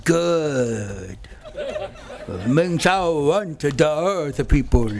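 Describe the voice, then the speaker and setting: A man playing a cruel, mocking emperor in a live old-time radio play, with a slow drawl. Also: fairly high pitch, lively intonation, a little mellow, exaggerated voice.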